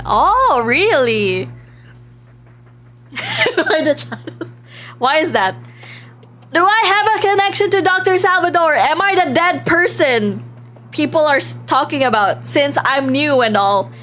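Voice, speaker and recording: A woman livestreaming a visual novel, reading aloud with expressive, giggly reactions and the odd stumble over words. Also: sits high, a little slow. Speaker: live streamer playing and reading a visual novel. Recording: music playing behind the voice.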